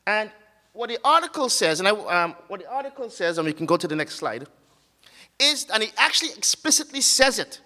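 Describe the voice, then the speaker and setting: A man giving an academic conference talk with slides, earnest and halting, often pausing on fillers and restarting his sentences. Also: sits high, big pitch swings, pushed and bright, long reverb tail. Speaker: conference speaker. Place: conference hall.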